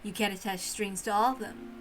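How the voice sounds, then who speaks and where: A woman's voice: mid-range voice, steady tone, brisk, lightly acted, poor audio. A woman voicing a haughty, teasing, prank-loving witch in a theatrical visual novel read-aloud, mocking and grandly condescending. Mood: playfully threatening. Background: music.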